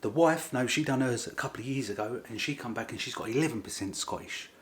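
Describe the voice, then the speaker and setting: A man's voice: medium pitch, fast, a little hiss or hum. Chatty middle-aged man. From England. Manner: rambling, repeats himself, informal working-class grammar. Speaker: vlogger. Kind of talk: casual chat to camera.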